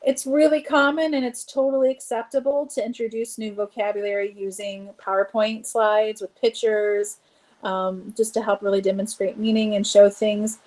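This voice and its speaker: A woman's voice: mid-range voice, fairly even intonation, moderate pace, a little mellow. Casual woman giving a teacher training talk. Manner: casual, explanatory, frequent fillers. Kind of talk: training presentation.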